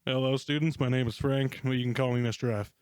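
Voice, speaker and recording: A man's voice: medium pitch, steady tone, fast talker, darkish tone, clear, resonant tone, no echo, natural voice. A man voicing a courteous, reassuring male substitute teacher in a story reading.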